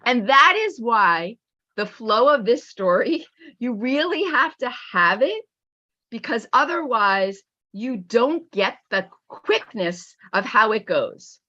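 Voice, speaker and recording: Bright, animated middle-aged woman teaching, dull recording. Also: fairly high pitch, slow. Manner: expressive, encouraging, emphatic. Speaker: teacher trainer and read-aloud presenter. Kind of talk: teaching webinar with animated picture-book reading. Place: at home on an online video call. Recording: dull, lo-fi online call audio.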